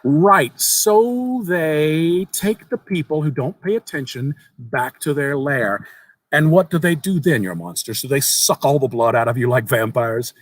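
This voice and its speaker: A man's voice: on the high side, a little slow, dry recording, voice pushed up. A man playing a skeptical, curious young man who humors and questions, slightly uneasy, in a horror story reading. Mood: curious, teasing.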